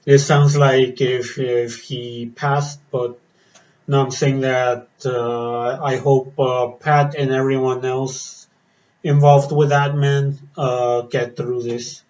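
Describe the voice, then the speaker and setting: Guy doing a reaction vlog, kinda raspy from the cold, flat and slow, lots of ums, dull sound. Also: medium pitch. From Spain. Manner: hesitant, many fillers and restarts. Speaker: metal vlogger. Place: outdoors in the cold.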